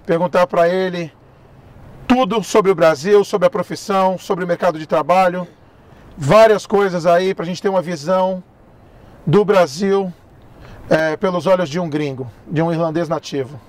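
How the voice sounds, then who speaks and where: A Brazilian man with a Brazilian Portuguese accent, interviewing a guest in halting English and laughing easily. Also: high voice. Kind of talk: casual street interview.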